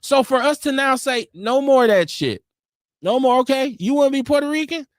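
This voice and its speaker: A man's voice: extremely high pitch, expressive, brisk, no echo, studio quality. A middle-aged American man giving opinions in casual discussion, emphatic and repeating phrases to drive points home. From United States.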